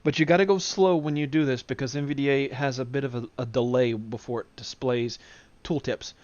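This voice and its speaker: A man giving an informal software demonstration, explaining things step by step. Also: mid-range voice, steady tone, a little fast, no echo, lo-fi sound. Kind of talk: casual software tutorial. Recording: clear background noise of simulator ambience. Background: flight simulator background sounds.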